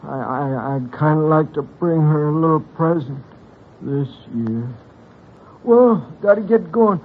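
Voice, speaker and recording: A man playing a meek, down-on-his-luck fellow, halting and stammering in an old radio drama. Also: fairly high pitch, expressive, a little hiss or hum. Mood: plaintive. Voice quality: husky, congested. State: has a bad cold. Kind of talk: vintage radio drama acting. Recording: thin, phone-like vintage radio recording.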